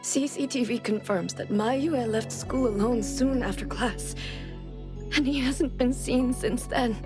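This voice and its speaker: Woman voicing a distressed mother, fairly high voice, earnest, pleading, game cutscene, music behind. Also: unhurried, close to an everyday voice. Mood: worried, pleading. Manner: worried, earnest, apologetic. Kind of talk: video game cutscene voice acting. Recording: background music under the voice.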